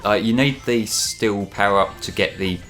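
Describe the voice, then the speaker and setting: A man's voice: lowish voice, moderate pace, a bit bright. A man chatting casually as a co-commentator on a video game, with dry, wry asides. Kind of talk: casual gameplay chat. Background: video game music.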